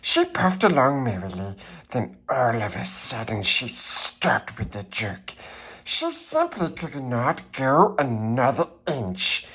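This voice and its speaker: A small wretched creature, reads a storybook in a raspy, strangled voice. The pitch lurches wildly, and the slow, hissing delivery turns fawning and peevish in muttered asides. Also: male voice, huge pitch swings, sharp tone, exaggerated voice. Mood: gleeful, savoring. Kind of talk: character reading of a children's storybook.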